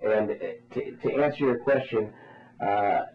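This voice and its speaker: A man's voice: on the low side, unhurried, soft background music. A man speaks as a radio ministry spokesman in a muffled, lo-fi phone interview. He preaches with fervour and insistence, circling back over his phrases. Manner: insistent, repetitive, religiously fervent.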